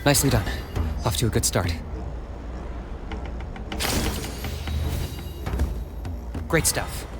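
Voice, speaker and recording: An actor playing a calm, businesslike young male warrior in a video game, giving instructions in a measured, dry, matter-of-fact way. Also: medium pitch, loud music behind the voice, lightly acted. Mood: encouraging.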